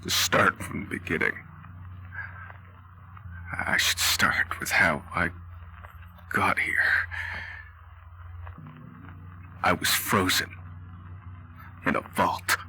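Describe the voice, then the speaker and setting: A low-voiced, grieving father played by a man speaks extremely slowly, with a gravelly creak and weary, halting pauses. He is a wasteland survivor in a dramatic game roleplay, with clear music playing underneath. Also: sharp tone, whispered, exaggerated voice, poor audio. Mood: weary, subdued. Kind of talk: dramatic in-character roleplay monologue.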